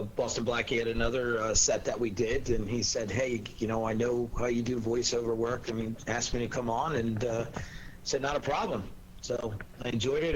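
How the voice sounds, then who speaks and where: A man who works as a character actor, talking casually and anecdotally on a panel in a halting way. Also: medium pitch, highs cut off. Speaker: character actor and voice-over performer. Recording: clear steady background noise.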